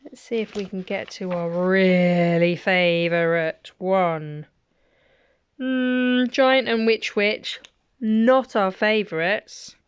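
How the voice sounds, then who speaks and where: Mum reading bedtime rhymes, slow and smooth, kinda dull lo-fi sound. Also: female voice, medium pitch, no room sound. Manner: gentle, chatty asides between rhymes. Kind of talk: reading nursery rhymes aloud.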